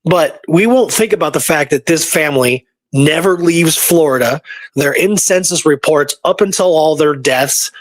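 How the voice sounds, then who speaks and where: A man with a fairly high voice talks casually as a show co-host. He explains things like a storyteller, checking in as he goes, and the sound is very dry and close. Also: normal speed, no echo. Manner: animated storyteller, often tags points with "right?". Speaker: show co-host and history researcher. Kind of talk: casual chat.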